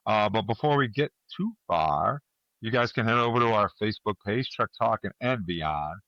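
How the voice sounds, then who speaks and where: Lower-voiced male host, slightly dull sound. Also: expressive, normal speed, sharp tone, no echo. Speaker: podcast host. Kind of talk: casual show introduction and announcements.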